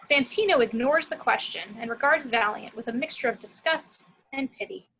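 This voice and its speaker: Bright, high-voiced woman narrating. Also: moderate pace, slightly rough, low-quality recording. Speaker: narrator reading action descriptions. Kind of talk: scripted screenplay narration.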